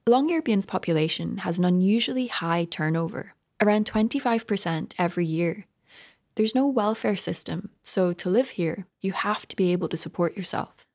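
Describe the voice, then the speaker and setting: A woman hosting a podcast, asking interview questions and narrating in a measured, clear way. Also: lowish voice, darkish tone.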